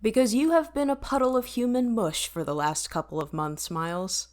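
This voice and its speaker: A woman's voice: a little high, fairly even intonation, normal speed, a little mellow, close to an everyday voice. A woman playing a vain, witty and easily flustered starship computer in an audio drama, talking in a wordy, technical way. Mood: exasperated, dry.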